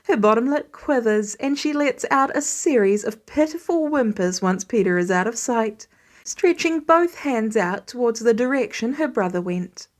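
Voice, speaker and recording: A woman's voice: a little high, lively intonation, normal speed. A woman reading a scripted story aloud as an audiobook narrator. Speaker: fan fiction audiobook reader.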